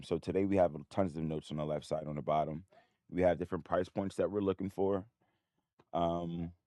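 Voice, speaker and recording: A man hosting a trading livestream in a casual, rambling way, his voice a bit hoarse. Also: low voice, dark tone, no room sound, studio quality. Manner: rambling, many restarts and fillers. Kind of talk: casual live-stream talk.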